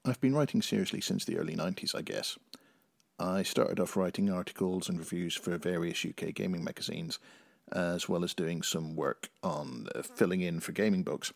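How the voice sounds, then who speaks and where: A middle-aged man from Northern Ireland with a very low, slightly rough voice speaks as a writer on a podcast. The sound is a little roomy. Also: normal speed, studio quality. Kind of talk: interview answers and reading own short story.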